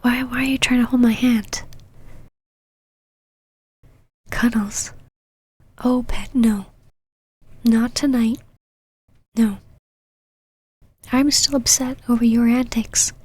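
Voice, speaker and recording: A possessive vampire knight mistress, played by a woman in an ASMR roleplay, speaking in a high, breathy whisper. She takes her time, haughty on the surface and halting underneath, with a secretly tender streak. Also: a little mellow, no echo. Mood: sulky, stern. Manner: haughty but flustered, halting, sighing. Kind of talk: intimate ASMR roleplay, close whispered acting.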